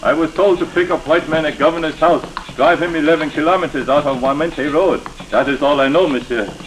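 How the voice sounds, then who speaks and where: A man's voice: on the high side, brisk, natural voice, low-quality recording. Man acting a guarded, deferential Haitian driver. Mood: evasive. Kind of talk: 1940s radio drama acting. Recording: old 1940s radio recording.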